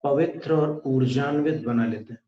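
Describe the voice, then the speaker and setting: A man with an Indian accent leading a guided meditation, speaking calmly and deliberately with pauses. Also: mid-range voice. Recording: echoey room, dull muffled sound.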